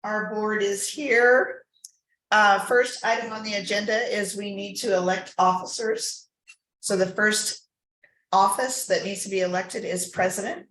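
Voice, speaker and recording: A woman's voice: medium pitch, fairly even intonation, a little slow, fairly bright tone. A woman chairing a formal board meeting, moving through procedure with conversational, informal asides. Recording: echoey room recording.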